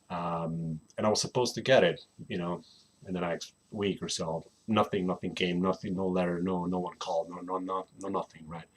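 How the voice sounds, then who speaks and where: A man's voice: low-pitched, breathy edge. A young man from Slovenia with a Slovenian accent, chatting casually to a webcam at home and rambling with lots of "you know what I mean". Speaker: vlogger. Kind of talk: casual personal chat to camera. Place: home room in England.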